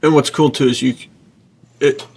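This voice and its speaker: A man's voice: medium pitch, steady tone, moderate pace, a little mellow, a little hiss or hum. A man hosting a podcast in a casual, enthusiastic, effusive conversational style. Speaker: podcast host.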